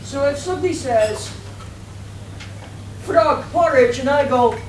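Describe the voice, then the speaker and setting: A woman's voice: sits high, a little slow, darkish tone. A woman narrating a live radio play as compere, in a theatrical, declamatory manner. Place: convention hall before an audience. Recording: echoey, noisy live hall, distant mic.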